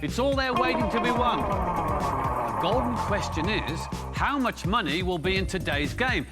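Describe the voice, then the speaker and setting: A man's voice: sits high, sing-song, unhurried. A man hosting a game show, introducing the rules and contestants with showy, bantering delivery. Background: game show music.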